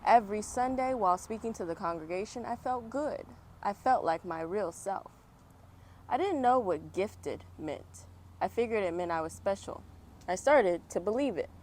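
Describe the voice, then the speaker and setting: A woman's voice: mid-range voice, animated, moderate pace, a little mellow. A woman reading a children's picture book aloud with chatty, encouraging asides to young viewers, recorded outdoors. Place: outdoors on a fall day. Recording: outdoor background noise, passing vehicles. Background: outdoor ambience, traffic.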